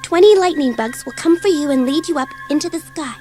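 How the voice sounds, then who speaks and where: A woman's voice: high-pitched, brisk, mellow, faint music behind, lightly acted. A woman playing an eager, impulsive, breathless schoolgirl in a dramatised children's audiobook. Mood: reading aloud. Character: bored, impulsive, excitable schoolgirl dabbling in magic.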